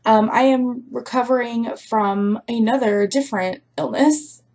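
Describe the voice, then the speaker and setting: A woman vlogger chatting casually to her viewers, rambling with lots of fillers, her voice a little rough from a recent sore throat. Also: a little high, measured pace, darkish tone. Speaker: crafting vlogger. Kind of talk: casual chat to camera.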